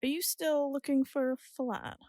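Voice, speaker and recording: An actress playing a friendly, forthright young flatmate in casual audio drama dialogue. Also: female voice, high-pitched, measured pace, no room sound, clean studio sound.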